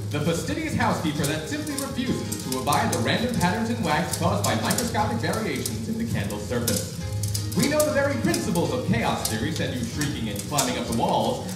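A young man performs a pompous old-time radio pitchman. He sells with mock-serious grandeur and big swings in pitch. The voice is distant and heavily reverberant, as in a live room. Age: young adult. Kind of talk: parody radio commercial, scripted. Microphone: far camera.

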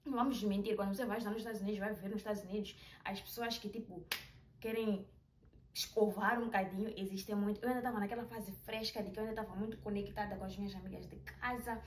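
A woman tells a personal story in a chatty, animated way, filmed in a small bedroom with the camera some distance away. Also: mid-range voice, quick pace. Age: 20s. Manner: chatty, animated, with hand gestures. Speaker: vlogger. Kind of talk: casual storytelling vlog. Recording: roomy, a little distant, faint hiss.

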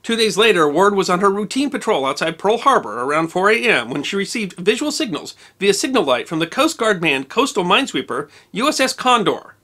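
A man narrating a scripted history documentary, measured and precise with dates and figures. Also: high voice, very animated, sharp tone.

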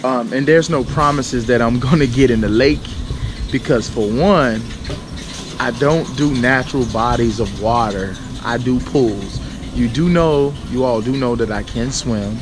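A man in his thirties talks slowly and easily to a camera held at arm's length, his voice slightly scratchy. He rambles with plenty of ums and uhs. There is a steady wash of noise behind him in the big store. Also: medium pitch. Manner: slow, relaxed, chatty with many fillers. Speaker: vlogger. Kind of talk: casual vlog talk to camera. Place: indoors in a large store. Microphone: handheld action camera at arm's length. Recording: noisy handheld camera audio.

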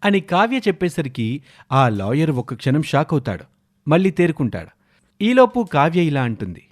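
A man's voice: a little high, very animated, moderate pace. A man narrating a scripted family drama story, linking the characters' dialogue.

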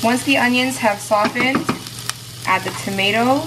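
A woman's voice: a little high, moderate pace, background noise. Chatty woman narrating a home cooking vlog. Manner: chatty, friendly step-by-step explaining to viewers. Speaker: cooking vlogger. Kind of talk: casual meal prep narration. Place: home kitchen.

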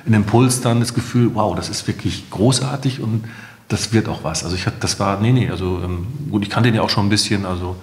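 A man's voice: on the low side, fairly quick, darkish tone. A middle-aged man speaking conversationally as a company founder and guest in a podcast interview. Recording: echoey room with long reverb.

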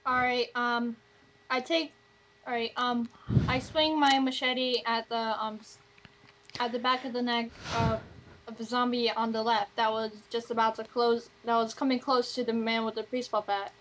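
A woman's voice: a little high, little pitch movement, moderate pace, a bit bright, low-quality recording. A woman playing a tabletop role-play, casually and hesitantly working out her moves aloud. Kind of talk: casual, improvised game talk. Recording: noticeable background noise.

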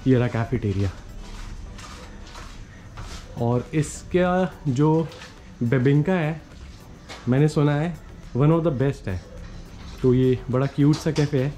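A man's voice: fairly high pitch, takes it slowly, pauses often, dark tone, soft background music. A man in his forties walking through old streets, talking enthusiastically to a handheld camera as a travel vlogger. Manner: chatty, enthusiastic tour-guide talk mixing English words. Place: outdoors on quiet old town streets. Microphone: handheld camera at arm's length. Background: faint street ambience and vehicles.

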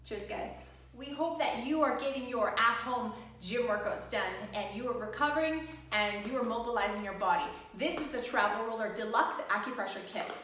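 A woman's voice: a little high, normal speed, a bit bright, a little hiss or hum. Upbeat woman coaching in an echoey home gym. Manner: encouraging, breezy coaching. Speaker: fitness instructor. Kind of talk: instructional workout voice-over and product demo. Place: home gym room with bare walls. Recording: echoey room, voice from a distance.

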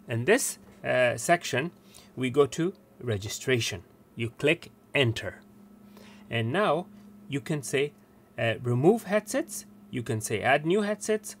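An older man presenting a step-by-step technical tutorial in a halting, explanatory way. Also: mid-range voice. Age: older, around 60. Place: small cluttered indoor workroom. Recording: clear background room noise. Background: steady room noise.

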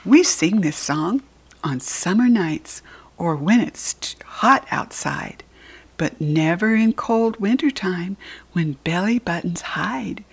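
An American woman reading a picture book aloud to young children, expressive, with a breathy voice. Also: fairly low pitch, takes it slowly. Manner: expressive, addressing small children.

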